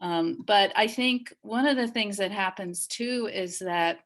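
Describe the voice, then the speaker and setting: A woman from Massachusetts speaking in a halting, thoughtful way in a discussion on a video call. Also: mid-range voice. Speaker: dance group leader and panelist.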